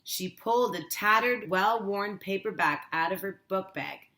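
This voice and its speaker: A woman teacher reading a children's story aloud with measured, deliberate phrasing. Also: medium pitch, sharp tone.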